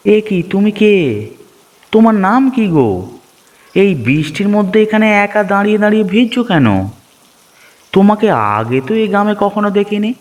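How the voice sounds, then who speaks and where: A man's voice: high voice, normal speed, pauses often, lightly acted. A man voicing a kind-hearted village fisherman in a cartoon story, concerned and coaxing, with music behind. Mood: concerned, surprised. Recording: background music.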